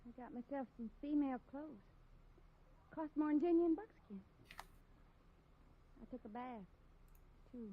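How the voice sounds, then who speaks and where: A blunt, rough-spoken tomboy of the frontier, in a 1950s radio western. Her voice is high. The sound is muffled, with a faint hiss from the old broadcast. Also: unhurried, long pauses. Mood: awkward. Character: rough tomboy frontier woman learning manners. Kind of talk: 1950s radio drama acting.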